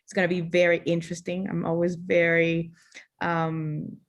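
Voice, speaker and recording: A woman from Costa Rica introduces herself on a video call. Her voice sits low and flat in pitch, and she speaks hesitantly, often repeating words as she finds them. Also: normal speed. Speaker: workshop participant.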